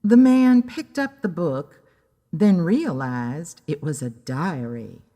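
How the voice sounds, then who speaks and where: A woman's voice: low-pitched, expressive, takes it slowly, warm tone. A woman giving a scripted narration of a pulp story. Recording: long reverberant hall sound, faint music.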